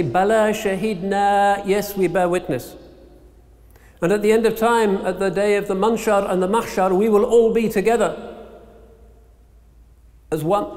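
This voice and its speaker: A middle-aged man delivering a solemn, measured sermon in short rhetorical phrases with pauses, as an imam. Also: high voice, darkish tone. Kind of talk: Eid sermon. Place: large mosque interior, at a lectern. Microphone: microphone on a stand. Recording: long hall reverb.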